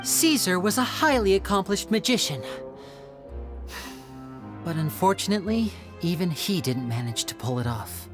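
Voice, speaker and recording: A poised lady magician from a video game, laying out secrets with theatrical care. Her voice sits a little low and moves in lively, expressive arcs. She sounds measured and confiding, with soft music underneath. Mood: assured, reflective. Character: poised female stage magician explaining tricks and clues. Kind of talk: scripted video game voice acting.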